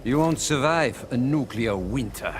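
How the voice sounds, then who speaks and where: A man's voice: mid-range voice, animated, a little slow, lightly acted. A man playing a cold, conceited scientist villain in a video game, formal and superior. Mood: disdainful. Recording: game audio with loud noise.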